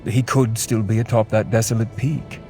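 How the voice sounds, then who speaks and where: A man voices a learned scholar in a fantasy game who speaks formally and cuts people short. His voice sits on the lower side. Quiet game music plays underneath. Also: fairly even intonation, moderate pace, natural voice. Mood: curt. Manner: formal, curt, impatient. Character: learned male scholar advisor, brisk and impatient.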